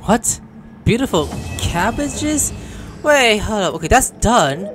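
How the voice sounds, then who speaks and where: A game streamer chats casually while playing. The voice gives loose, offhand commentary with game music clearly behind it. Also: mid-range voice, big pitch swings, slow pace, fairly bright tone.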